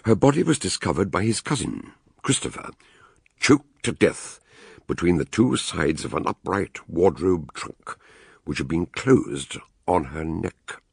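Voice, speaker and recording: A ponderous older British detective for radio drama. The voice is very low and husky, swinging widely in pitch. He weighs his phrases with dramatic gravity. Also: animated, a little slow, no echo, clean studio sound, heavily acted. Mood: measured, grave. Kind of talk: radio drama acting, narrated case notes.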